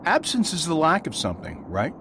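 A man playing a gentle, thoughtful parish priest in a radio play, speaking in a mild, measured, consoling way. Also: fairly high pitch, huge pitch swings, gravelly, full character voice. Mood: musing, amused. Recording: faint background noise.

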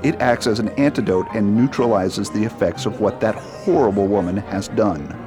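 A man's voice: fairly low pitch, normal speed, music in the background, close to an everyday voice. A man playing an earnest, indignant police toxicologist in a radio drama, explaining and reassuring.

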